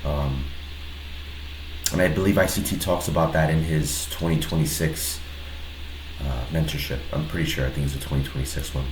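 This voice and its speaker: Deep-voiced man with a stuffy head cold. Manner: relaxed, hesitant, many pauses and restarts. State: head cold, slightly nasal. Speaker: trader reviewing his own trade. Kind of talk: casual screen-recorded trade breakdown. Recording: roomy echo with faint background noise.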